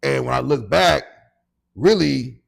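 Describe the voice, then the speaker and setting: A man's voice: medium pitch, slow pace, gravelly. An American man in his late forties talking casually and reflectively as a podcast co-host, often checking in with his listener. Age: late 40s.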